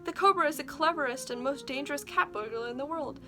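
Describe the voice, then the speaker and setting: A woman voicing a brisk male chief of police in a storybook. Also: high voice, bright, forceful tone, voice pushed up.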